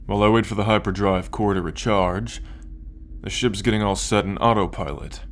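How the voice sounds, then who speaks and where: Man acting a slow, low-voiced arrogant alien captor. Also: slight background noise. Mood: cold, taunting. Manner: condescending, menacing, deliberate with long pauses. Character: arrogant possessive male alien soldier, cold, darkly amused captor. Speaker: ASMR roleplay performer. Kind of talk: ASMR roleplay acting.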